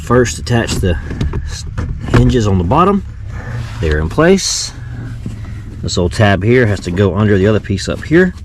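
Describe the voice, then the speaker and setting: A man with a low voice talks casually through a do-it-yourself job, thinking aloud as he goes. Clear background noise from a vehicle runs behind him. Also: big pitch swings, measured pace, pauses often. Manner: thinks aloud while working. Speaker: host of a DIY vehicle radio install video. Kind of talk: casual hands-on tutorial narration.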